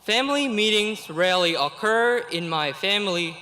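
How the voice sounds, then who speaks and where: A young man in his late teens, originally from Vietnam and speaking, gives a graduation speech he has written. He reads in an earnest, deliberate way and lets small laughs slip between phrases. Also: sits high, animated, pushed and bright, a little hiss or hum, poor audio. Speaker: graduating student giving the commencement speech. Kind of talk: reading a prepared commencement speech.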